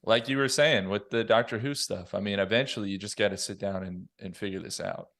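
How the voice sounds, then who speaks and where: A man's voice: fairly low pitch, steady tone, brisk, fairly bright tone. A man talking through a story in an informal, reflective way on a casual podcast roundtable. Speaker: podcast panelist.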